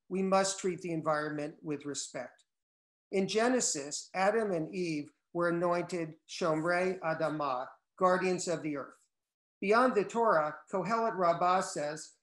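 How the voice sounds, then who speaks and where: An older man, a cookbook co-author, reading a prepared talk in measured tones over a video call from home. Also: high voice. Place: wood-paneled room at home. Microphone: laptop video call. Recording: echoey room on a video call.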